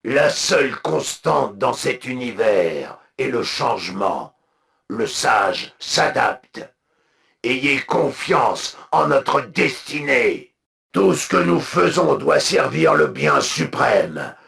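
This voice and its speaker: An actor voicing a proud, zealous alien military commander for a video game, with a gravelly voice and solemn, imperious, clipped delivery. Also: male voice, mid-range voice, very animated, slow, fairly bright tone, heavily acted. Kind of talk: video game unit voice lines, declamatory.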